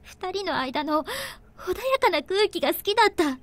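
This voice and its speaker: High-voiced, earnest young anime woman. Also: animated, quick pace, no echo. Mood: hurt. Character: earnest young woman, tender, quick to hurt and anger. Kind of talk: Japanese visual novel voice acting.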